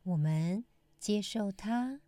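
A woman with a dark, mellow voice hosts a meditation podcast. She speaks very slowly and gently, pausing often between short phrases. The sound is close and dry, suited to calm mindfulness teaching. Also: medium pitch, no room sound. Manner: measured, gentle phrasing with frequent pauses. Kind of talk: calm scripted mindfulness talk.

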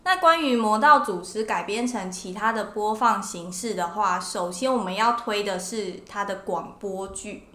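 A woman's voice: mid-range voice, moderate pace, few pauses, fairly bright tone. A woman with a Taiwanese Mandarin accent hosting a podcast, chatting casually as she explains things to listeners. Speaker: podcast host. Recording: roomy indoor echo.

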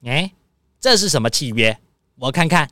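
A woman's voice: deep voice, lively intonation, normal speed, pushed and bright. A woman with a Taiwanese Mandarin accent playing a spoiled, pompous rich man in a children's radio drama, whiny, dramatic and pleading. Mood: grumbling.

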